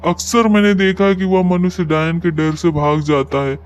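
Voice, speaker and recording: A man playing a solemn wandering holy man in a cartoon moral story, speaking in a measured, fatherly way. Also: high-pitched, little pitch movement, slight background noise, close to an everyday voice. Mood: solemn, approving.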